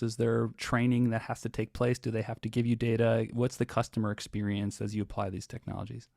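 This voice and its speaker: A man interviews in a low-key, fairly monotone delivery. His voice has a gravelly creak, and the sound is dry and close as he puts his questions to guests. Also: no room sound. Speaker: interviewer, investor.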